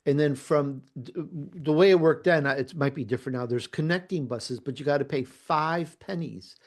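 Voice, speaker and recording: A man's voice: on the high side, normal speed. A middle-aged man with a light Chicago accent, a podcast guest telling his story over a video call in an earnest, emphatic way that repeats phrases. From Italy, raised in Chicago. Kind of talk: conversational interview storytelling.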